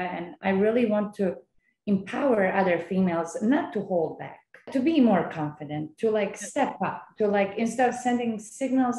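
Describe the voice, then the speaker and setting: A woman's voice: medium pitch, warm tone, a little hiss or hum. A young woman hosting a podcast over a video call, talking in a rambling way full of "like" and "you know.". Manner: rambling, frequent likes and you knows. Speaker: podcast host. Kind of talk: casual interview chat. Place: bare indoor room. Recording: echoey room on video call.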